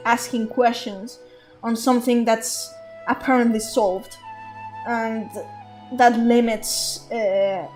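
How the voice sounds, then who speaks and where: A woman's voice: on the high side, little pitch movement, slow, a little mellow, soft background music, poor audio. A woman streaming a game, musing aloud in a rambling, thoughtful way with many fillers and restarts. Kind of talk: casual livestream commentary.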